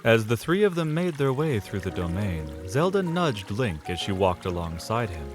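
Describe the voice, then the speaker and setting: A man's voice: on the low side, sing-song, normal speed. A man narrating a fantasy audio drama in a scripted, dramatic storytelling style. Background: music under the voice.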